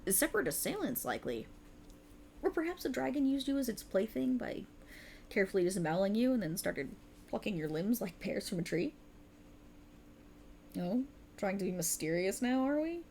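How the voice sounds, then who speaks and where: A woman's voice: medium pitch, sing-song, normal speed, vocal fry, long pauses, darkish tone, slight background noise. A woman voicing a sultry, cruel and mocking otherworldly warlock patron in a theatrical ASMR roleplay, teasing and condescending. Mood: mocking, amused.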